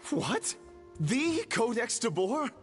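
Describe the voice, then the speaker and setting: A man playing an excitable onlooker in a video game, explaining things in a dramatic way. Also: very high-pitched, slow pace, soft background music, exaggerated voice. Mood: astonished. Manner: dramatic, expository. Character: excitable male onlooker explaining restaurant legend. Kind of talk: video game dialogue acting.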